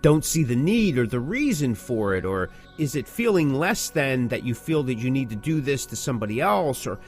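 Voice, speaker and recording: A male podcast host with a mid-pitched voice and very lively intonation. He talks conversationally but with emphasis, building his point in short phrases and rhetorical questions, with music faintly present. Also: moderate pace.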